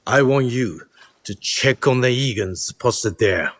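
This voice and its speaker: An actor playing a grave, battle-hardened older samurai lord in a video game, speaking with measured authority. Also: male voice, medium pitch, expressive, fairly bright tone, no echo. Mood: grave, commanding. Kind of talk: video game cutscene acting.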